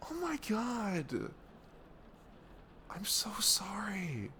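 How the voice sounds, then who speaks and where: A young man playing a caring, protective best friend in an intimate ASMR audio roleplay, talking in a halting, soothing, earnest way that slips into whispers. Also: sits high, big pitch swings, bright, forceful tone, a little hiss or hum, heavily acted. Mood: shocked, indignant.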